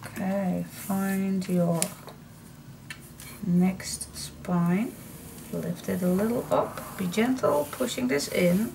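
Slow-talking Dutch woman, creaky voice. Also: mid-range voice. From Netherlands. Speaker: craft tutorial host. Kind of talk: casual chatty hands-on demonstration. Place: indoor craft table. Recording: clearly noisy room recording.

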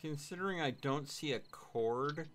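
A man in his thirties chatting casually as he streams a game from home, explaining things in a measured, dry way. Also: a little high, noisy background. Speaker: livestream gamer and host. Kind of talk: casual gaming chat with explanations.

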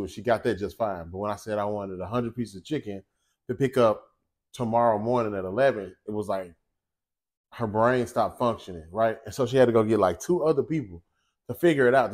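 A man in his forties hosting a casual home cooking show from his kitchen, talking straight to viewers in an animated, chatty way. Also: on the low side. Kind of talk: casual cooking chat and monologue.

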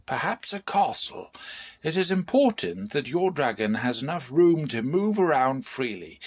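Male wildlife director acted by a storybook reader, mid pitch, emphatic, earnest, excitable.

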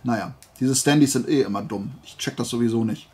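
A man's voice: mid-range voice, a little fast, a little mellow, slightly rough. A man chatting casually and playfully to camera as a vlogger, rambling through asides. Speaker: YouTuber showing flea market finds.

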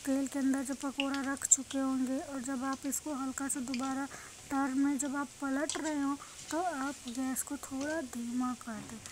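A woman with an Indian accent giving a chatty, repetitive cooking demonstration to viewers as a home cook. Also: sits high. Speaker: home cook and vlog host. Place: indoor home kitchen, beside frying pan. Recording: noisy kitchen phone recording.

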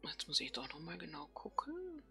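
A woman's voice: lowish voice, wildly swooping pitch, normal speed, pushed and bright, soft background music. A woman hosting a let's play, thinking aloud casually and murmuring questions to herself as she plays.

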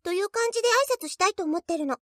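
Very high-pitched, proud anime idol girl. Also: animated, very fast, fairly bright tone, no echo, clean studio sound. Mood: perky, confident. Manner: perky, boastful, theatrical, girlish. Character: cheerful, proud, self-confident young female idol. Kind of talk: anime game character voice lines.

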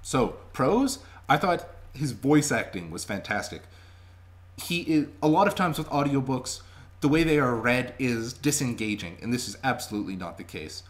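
A man's voice: medium pitch, sing-song, moderate pace. Enthusiastic young man giving a casual review. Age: young adult. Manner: enthusiastic, chatty, emphatic. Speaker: vlogger reviewing audiobooks. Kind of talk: casual spoken review to camera. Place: small indoor room at home. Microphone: webcam microphone at arm's length.

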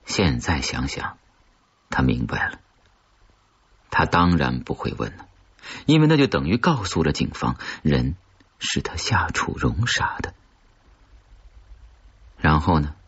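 A man narrating a suspense horror story from a script, with a husky, brooding, dramatic delivery. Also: a bass voice, sing-song, a little slow, pauses often. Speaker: audiobook narrator of horror fiction. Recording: dull, muffled lo-fi audio.

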